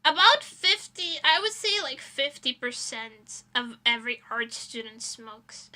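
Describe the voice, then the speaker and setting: Young female VTuber streamer, high and piercingly bright voice, informal giggly delivery, rambling anecdotes in a casual chat style. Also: very animated, measured pace. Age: young adult. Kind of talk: casual livestream chat and storytelling.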